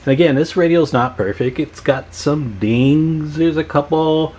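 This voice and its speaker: A man's voice: a little high, unhurried, darkish tone, slightly rough. An American man giving a casual, folksy hands-on demonstration as a video host, chatting to viewers as he works. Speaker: radio repair hobbyist and video host.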